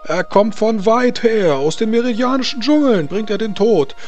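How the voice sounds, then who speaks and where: A man's voice: high voice, animated, highs cut off, soft background music, pitched above the performer's own voice. A man voicing a pompous, scornful tournament herald who declaims grandly in a tabletop game. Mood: grandiose menace. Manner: pompous, declaiming, drawn-out shouted names. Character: bombastic, scornful male tournament herald hyping champions.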